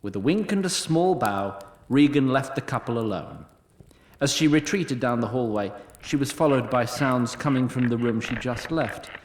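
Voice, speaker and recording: Actor as male immortal narrator, wry, lofty, formal, droll fantasy storytelling, mid-pitched, roomy echo. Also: expressive, moderate pace, natural voice. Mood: arch, wry. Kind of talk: scripted fantasy audio drama narration.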